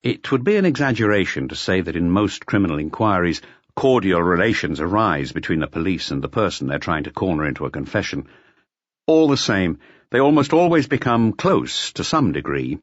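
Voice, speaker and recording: Guy reading a detective novel, lowish voice, lots of melody, bit dull recording. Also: no echo. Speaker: audiobook narrator. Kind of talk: scripted literary narration of a detective novel.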